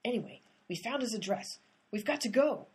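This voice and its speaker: An actress in a radio comedy playing an exasperated female amateur sleuth who talks in a brisk, bossy way. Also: a little high, animated, a little mellow, husky. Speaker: lead character, amateur sleuth. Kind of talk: comic radio play acting.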